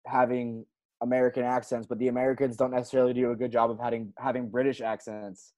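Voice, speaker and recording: A man hosting a relaxed conversation, circling around his questions and repeating words as he goes. His voice sits at an ordinary pitch. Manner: halting, repeats words while asking questions. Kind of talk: casual chat.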